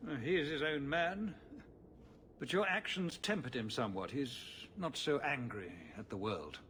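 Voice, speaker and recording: An actor playing a burdened middle-aged city ruler in a fantasy game, speaking in a measured, formal, world-weary way. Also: male voice, medium pitch, lively intonation, fairly bright tone, slight background noise, close to an everyday voice. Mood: reflective. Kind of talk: video game cutscene acting.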